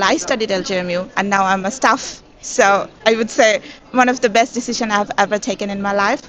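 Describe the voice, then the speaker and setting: A woman from Bangladesh, now university staff, enthusiastically promoting scholarships in an interview. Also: a little high. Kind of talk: answering interview questions. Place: busy education expo hall.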